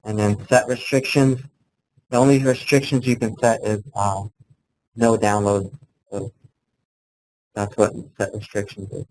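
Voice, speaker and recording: A man giving an informal instructional talk as a software trainer, explaining plainly in a halting way and checking in as he goes. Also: lowish voice, low-quality recording. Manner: halting, plain-spoken explaining, often checking in with "okay" and "right". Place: indoor room, live talk to colleagues. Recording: clearly audible background room noise.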